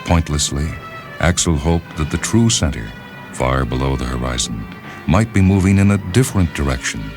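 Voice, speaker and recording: Very deep-voiced male story narrator. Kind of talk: literary story narration for radio. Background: orchestral music.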